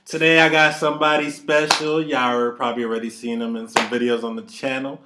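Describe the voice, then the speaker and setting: A man in his late twenties hosting a casual chat, talking with hype and drawn-out slang. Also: medium pitch, little pitch movement, very noisy. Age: 20s. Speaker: channel host.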